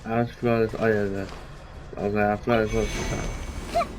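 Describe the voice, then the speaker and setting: A man's voice: on the low side, level tone, a little fast, music in the background. A man doing casual gameplay commentary for an audience in a mumbly, rambling, self-deprecating way. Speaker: gamer playing for an audience.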